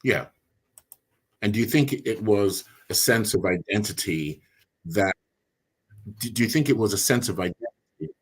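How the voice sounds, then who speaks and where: Middle-aged immigrant man, very low husky voice, measured and deliberate. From immigrant, came as a child. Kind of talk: casual discussion.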